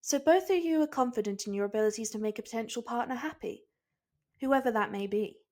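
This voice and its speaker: A woman voicing a cunning, composed, teasing woman with a measured, suggestive way of talking. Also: fairly high pitch, a little mellow, pitched above the performer's own voice, close to an everyday voice. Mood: sly.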